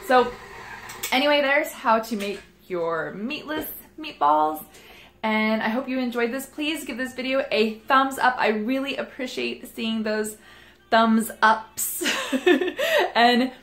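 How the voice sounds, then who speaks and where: A woman's voice: on the high side, slow, fairly bright tone. Chatty self-deprecating woman in kitchen. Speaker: cooking vlogger. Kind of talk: casual cooking vlog chat. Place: small home kitchen, indoors. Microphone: phone camera held close.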